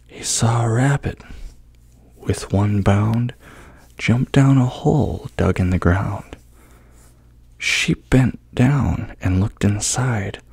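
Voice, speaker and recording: A man reads a children's storybook as soft ASMR, often sinking into a whisper. His delivery is gentle and unhurried, with a husky, breathy texture and some creak. The sound is intimate and hushed. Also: lowish voice, no room sound. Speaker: ASMR host reading a bedtime story.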